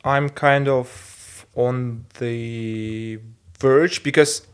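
Slow, hesitant man. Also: medium pitch, fairly even intonation, clean tone. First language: Russian. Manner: hesitant, searching for English words. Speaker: podcast co-host. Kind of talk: casual film discussion.

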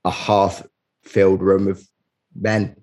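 A man's voice: deep voice, flat delivery, slow, a little mellow. A young man chatting casually as a comedian guest, with a dry, joking way of talking. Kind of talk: casual comic chat.

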